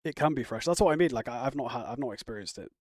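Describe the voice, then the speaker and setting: A man's voice: medium pitch, lively intonation, rapid-fire, a little mellow, no echo, studio quality. A man chatting casually on a live stream, rambling and answering viewer comments. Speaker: live streamer reacting to chat. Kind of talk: casual chat.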